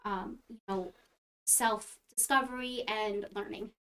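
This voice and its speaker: A woman's voice: mid-range voice, fairly even intonation, takes it slowly, pushed and bright, rough recording. Earnest woman explaining crystals. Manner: earnest, explanatory, frequent ums. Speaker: crystal healer. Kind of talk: product explanation on a live stream.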